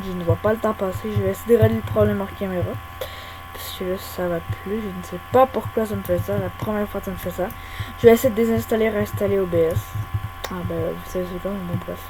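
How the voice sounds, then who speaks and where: A young woman giving casual, hesitant gameplay commentary at her home computer, full of pauses and filler sounds. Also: mid-range voice. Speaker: gaming YouTuber. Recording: hum and whirring computer fan noise.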